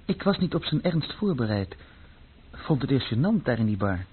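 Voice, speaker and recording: A man's voice: medium pitch, big pitch swings, moderate pace, breathy edge. An actor playing a searching, brooding runaway son who tells his own story in a radio play, plainly and introspectively. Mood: brooding, reflective. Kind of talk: radio play narration and dialogue. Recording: muffled, phone-like old radio recording.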